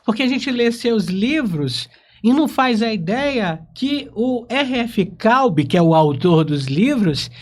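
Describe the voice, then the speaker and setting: A man's voice: very high voice, expressive, slow pace. A man with a Brazilian accent hosting a podcast in a casual, chatty way. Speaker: podcast host.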